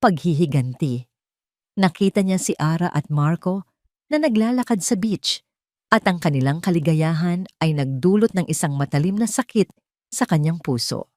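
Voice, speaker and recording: Female Filipino narrator for dramatic fiction, slightly low pitch, very dry recording. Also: expressive, moderate pace, darkish tone, no room sound. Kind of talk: dramatic scripted story narration.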